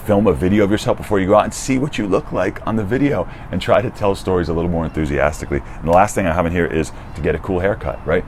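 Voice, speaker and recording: A young man with a deep voice giving casual, energetic dating advice, swearing freely and dropping "dude" and "right?". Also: a little hiss or hum. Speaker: dating coach. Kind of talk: casual direct-to-camera advice.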